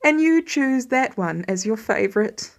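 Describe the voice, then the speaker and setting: A woman's voice: a little high, lively intonation, measured pace. A woman voicing a tired, sarcastic, doting new father with dry, exaggerated grousing in an audiobook story. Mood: sarcastic grumbling.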